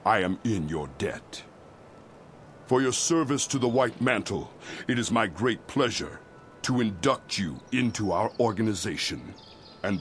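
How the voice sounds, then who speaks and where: An actor playing a solemn leader of a religious order in a video game, speaking in a stately, ceremonial way. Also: male voice, on the low side, slight background noise. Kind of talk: video game cutscene acting.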